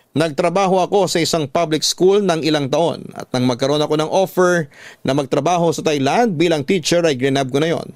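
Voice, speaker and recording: A man's voice: on the high side, darkish tone, dry recording. Filipino man narrating, dry close sound. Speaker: radio storytelling host and narrator. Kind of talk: scripted dramatic narration of a listener's life story.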